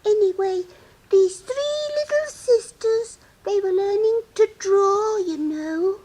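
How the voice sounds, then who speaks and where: An actor voicing a sleepy, querulous little dormouse with a drawn-out whine in a 1950s storybook recording. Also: very high-pitched, mellow, low-quality recording. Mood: sleepy storytelling. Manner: drowsy, drawn-out, whining.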